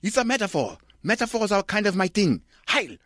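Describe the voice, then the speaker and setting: A man's voice: high voice, very animated, brisk, a bit bright, no echo, clean studio sound, voice pushed up, exaggerated voice. A man voicing a pompous, pretentious male film director given to exclamations. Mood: pompous, shouting.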